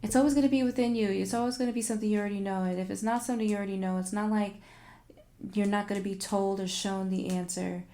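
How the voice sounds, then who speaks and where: A woman hosting a tarot livestream in casual, animated, informal talk, thinking aloud and repeating phrases for emphasis, her voice turning a little raspy. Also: mid-range voice, fast talker. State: voice getting raspy. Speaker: tarot reader and livestream host.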